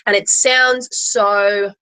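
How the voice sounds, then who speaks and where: A woman's voice: medium pitch, fairly even intonation, very slow, sharp tone, clear, resonant tone, poor audio. A woman with a big voice, speaking emphatically and repeating phrases for stress on a live tarot panel. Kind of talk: opinionated live panel talk.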